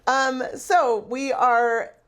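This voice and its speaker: Female podcast co-host, higher-pitched, American with a light Midwestern lilt, chatty storyteller who likes to tease. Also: steady tone, extremely slow, a bit bright. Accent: American, slightly Midwestern. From California, United States. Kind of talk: casual chatty podcast talk with anecdotes.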